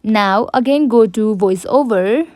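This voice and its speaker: A woman presents a how-to tutorial in a fairly high voice. She gives simple, step-by-step instructions straight to the viewer. The sound is dry, with almost no room in it. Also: measured pace, a little mellow, dry recording. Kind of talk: step-by-step app tutorial narration.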